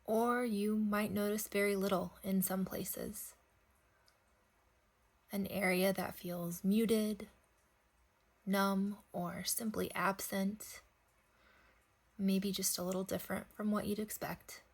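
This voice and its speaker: A woman leading a guided sleep meditation, speaking gently in unhurried phrases with long pauses. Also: mid-range voice, level tone.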